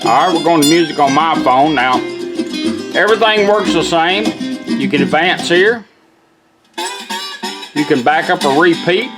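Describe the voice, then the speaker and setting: Higher-voiced man demonstrating, music behind. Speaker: radio restorer demonstrating a product. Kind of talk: casual product demonstration. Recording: music playing from a radio behind. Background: radio music with guitar.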